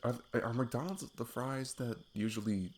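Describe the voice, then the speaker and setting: A man playing an affectionate, goofy best friend on a date in an intimate ASMR roleplay, rambling playfully in a husky, gravelly voice. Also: mid-range voice, moderate pace, darkish tone, close to an everyday voice. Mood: playful, casual. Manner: rambling, playful, self-deprecating chuckles. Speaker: audio roleplay performer. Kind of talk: intimate ASMR roleplay, improvised casual chat.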